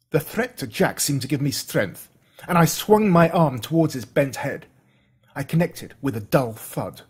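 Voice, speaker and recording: Husky Australian man narrating with dramatic swings. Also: medium pitch, a little mellow. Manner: dramatic, suspenseful delivery with slowed emphatic words. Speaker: audiobook narrator. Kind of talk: scripted first-person story narration.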